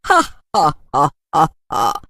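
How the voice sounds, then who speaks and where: A woman's voice: low voice, huge pitch swings, slow pace, a bit bright, exaggerated voice. A woman voicing a haughty, spoiled, taunting city witch in a cartoon horror story. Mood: mocking. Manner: taunting, dismissive. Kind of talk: animated horror story dialogue.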